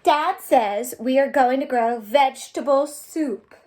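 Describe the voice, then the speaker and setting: A woman's voice: high-pitched, slow pace, a little mellow, slight background noise. A woman hosting a children's storytime, reading aloud in an exaggerated, sing-song way for young listeners. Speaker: children's librarian, storytime host. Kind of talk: children's storytime, read-aloud and singing along.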